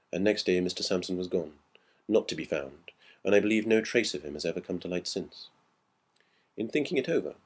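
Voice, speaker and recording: A man reading a classic ghost story aloud in a measured, dramatic storytelling manner. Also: low voice, husky. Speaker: audiobook narrator.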